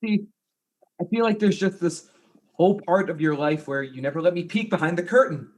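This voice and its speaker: A man's voice: high voice, fairly quick, close to an everyday voice. A man acting an insecure, jealous and goofy fiancé in a darkly comic mystery play, earnest and flustered. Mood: wounded, suspicious.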